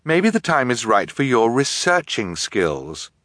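A man's voice: mid-range voice, sing-song, unhurried, fairly bright tone, no room sound, clean studio sound, voice pushed up. A man voicing an earnest, protective teenage orphan girl with a pleading, emphatic delivery in a storybook. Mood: urgent, thinking.

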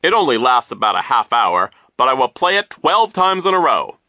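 A man's voice: medium pitch, sing-song, normal speed, bright, forceful tone, dry recording, clean studio sound, voice pushed up. A man voicing a conceited, petty school vice principal in an audiobook story, speaking in a pompous, self-important way. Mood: self-satisfied.

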